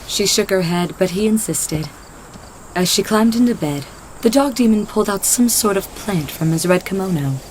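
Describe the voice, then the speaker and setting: A woman's voice: mid-range voice, animated, moderate pace, a little mellow, a little hiss or hum, low-quality recording. A woman narrator reading a scripted story in the third person.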